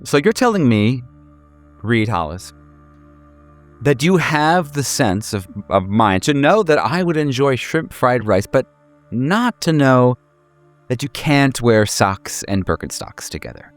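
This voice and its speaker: A man's voice: medium pitch, very animated, measured pace, soft background music, voice pushed up. A man voicing a coy, playful woman who teases and mock-scolds, in a tabletop roleplaying game. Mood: mock-scolding. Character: coy, playful, teasing woman under witness protection.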